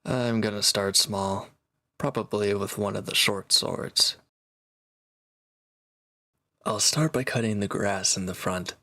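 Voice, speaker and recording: A man playing a proud, teasing reformed villain and swordsman in an intimate audio roleplay, talking cockily to one listener in a gravelly voice. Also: lowish voice, fairly even intonation, takes it slowly, long pauses, bright, forceful tone, no room sound, heavily acted. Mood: defensive, dry.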